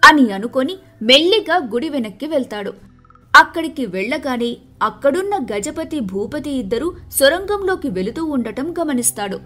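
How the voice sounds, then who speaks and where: A woman's voice: sits high, lively intonation, normal speed, a bit bright, soft background music. A woman narrating a scripted moral story as the storyteller.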